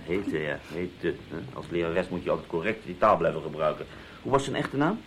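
A man's voice: low voice, a little fast, a bit bright, slight background noise. A man playing a sarcastic, cynical husband in an audio drama, talking down to his wife with condescending endearments. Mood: sneering.

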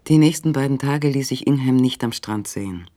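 A man's voice: medium pitch, a little fast, warm tone, natural voice. A man reading scripted narration for a radio play, on a recording with faint noise.

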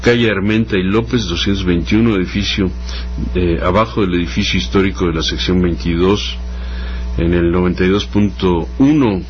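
A man with a Mexican accent hosting a radio program, talking off the cuff in a halting, hesitant way and repeating words as he goes. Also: low voice, fairly even intonation, faint music behind. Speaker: radio host. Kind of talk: unscripted radio talk introducing music. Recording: dull lo-fi radio sound, faint hum.

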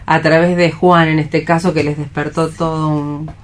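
A woman's voice: low-pitched, level tone, normal speed. A woman with an Argentine accent hosting a casual round-table discussion on the radio. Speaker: host of a radio theatre programme.

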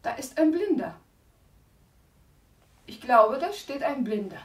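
An actress playing a considerate but bossy young wife in a radio play, breathy and polite yet insistent. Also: female voice, high voice, sing-song, slow, exaggerated voice. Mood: hushed, insistent. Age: young adult. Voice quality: breathy, often hushed. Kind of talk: German radio play acting.

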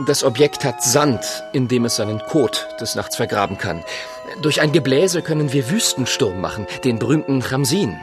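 A man's voice: mid-range voice, animated, moderate pace, over music, close to an everyday voice. Actor as a dry, formal male secretary. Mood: matter-of-fact. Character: dutiful, matter-of-fact male secretary to a gentleman. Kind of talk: German radio play acting.

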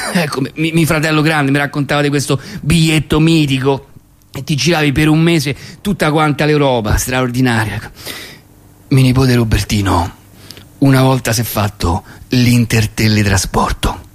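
A man's voice: medium pitch, normal speed, close to an everyday voice. A man performing a nostalgic, grumbling old grandfather in a comic monologue, with a Roman accent and a stammering, repetitive, sweary way of talking. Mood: wry storytelling. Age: older. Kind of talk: comic monologue at a live reading.

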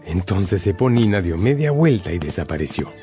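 A man's voice: low voice, very animated, normal speed. A man narrating a scripted radio drama as a dramatic storyteller. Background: music.

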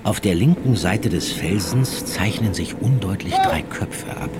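Casting: male narrator, low voice, measured storytelling for radio drama, over prominent music. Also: lively intonation.

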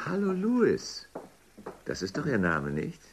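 An actor playing a polite, ironic underworld official with a husky, musing delivery in a German science fiction radio drama. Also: male voice, medium pitch, huge pitch swings, slow, slight background noise. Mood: bemused politeness.

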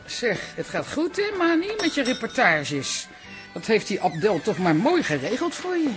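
Chatty old trouper in radio comedy, high voice, super animated, soothing. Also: male voice, moderate pace, over music. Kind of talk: Dutch radio comedy drama acting.

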